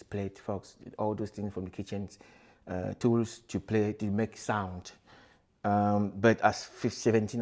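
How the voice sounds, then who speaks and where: Uomo originario del Camerun, voce piuttosto bassa, che parla con calma e molte esitazioni. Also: male voice. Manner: unhurried, hesitant, many fillers and restarts. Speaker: musician. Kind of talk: casual explanatory talk.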